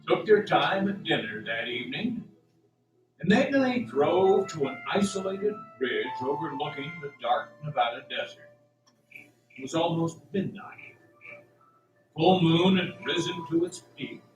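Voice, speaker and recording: Male radio drama narrator, higher-pitched and very animated, distant in an echoey room with faint music. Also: a little slow.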